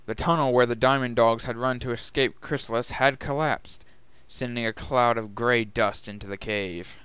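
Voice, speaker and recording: A man's voice: medium pitch, fairly even intonation, moderate pace, a bit bright, clear, resonant tone, dry recording. A man narrating a scripted story as a dramatic reading. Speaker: narrator and reader.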